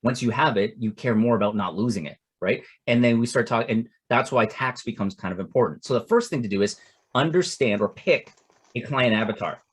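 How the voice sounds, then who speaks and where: This is a middle-aged man teaching a seminar, with a somewhat husky, breathy voice at a mid pitch. He talks in a loose, conversational way, restarting phrases and checking in with "right?" as he goes. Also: animated, brisk. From Canada. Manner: conversational, repeats words and restarts, tags "right?". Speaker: investment coach. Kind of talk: seminar talk.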